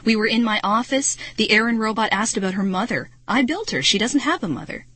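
Woman playing a weary, husky male inventor. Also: fairly high pitch, lively intonation, brisk, fairly bright tone, no room sound. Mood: resigned. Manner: muttering, resigned. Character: weary adult male inventor, matter-of-fact and cold.

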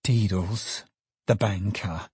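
A man voicing an agitated, secretive gentleman secretary in an audiobook, speaking gravely and deferentially. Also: lowish voice, measured pace, no room sound. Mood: hushed shock. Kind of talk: dramatized audiobook character voice.

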